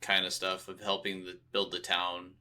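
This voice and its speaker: A man's voice: low voice, monotone, normal speed, crowd noise. A man co-hosting a podcast, chatting informally about video games and swearing freely. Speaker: podcast co-host. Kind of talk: casual video game review chat.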